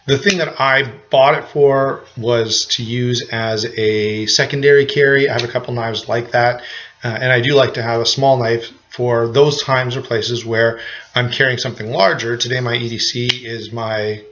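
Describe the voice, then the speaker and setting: A man's voice: mid-range voice, steady tone, moderate pace, a bit bright, lo-fi sound. A man with a cold giving a casual, rambling knife review to camera, full of restarts and fillers. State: has a cold.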